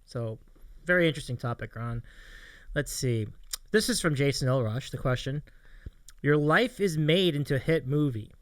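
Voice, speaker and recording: A man's voice: medium pitch, normal speed, no echo. An American man hosting a casual livestream chat, chatty and appreciative, often repeating his phrases. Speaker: show host reading audience questions.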